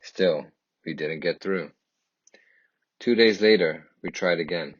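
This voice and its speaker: Deep husky man reading a story aloud. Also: slow. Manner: emphatic, dramatic phrasing. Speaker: children's picture book reader. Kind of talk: expressive read-aloud storytelling. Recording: dull, lo-fi, echoey room.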